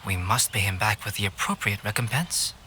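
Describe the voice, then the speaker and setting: A man voicing a calm, analytical, polite young artist in a video game, speaking in a measured, formal, reserved way. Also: fairly low pitch, background noise, lightly acted. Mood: composed. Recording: music behind the voice.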